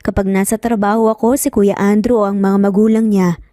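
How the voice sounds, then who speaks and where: A woman's voice: mid-range voice, flat delivery, a little fast, dark tone, dry recording, studio quality, faint music behind, lightly acted. A woman voicing a nervous, evasive young mother in a romance story, hesitant and apologetic. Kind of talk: dramatic romance audio storytelling.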